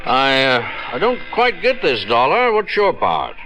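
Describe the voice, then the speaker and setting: An actor playing a big, easygoing but guarded American police lieutenant in a 1950s radio drama, folksy and skeptical. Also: male voice, mid-range voice, wildly swooping pitch, unhurried, bright, forceful tone, soft background music, exaggerated voice, rough recording. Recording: vintage radio recording.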